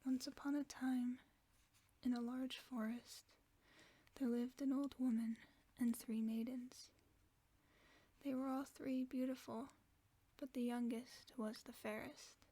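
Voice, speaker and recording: A woman as a whispering gentle werewolf friend. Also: high-pitched, fairly even intonation, takes it slowly, slightly rough, no echo, full character voice. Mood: storytelling. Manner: soft soothing whisper. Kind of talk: whispered sleep-aid audio roleplay.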